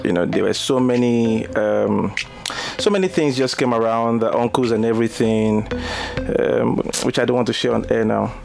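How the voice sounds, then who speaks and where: A young man with a Nigerian accent speaks with a gravelly edge, and his pitch sits in the middle range. He talks softly and reflectively, pausing as he goes, as a guest on a studio mic. Music plays clearly underneath him. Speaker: singer and guest. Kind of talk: radio interview. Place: radio studio.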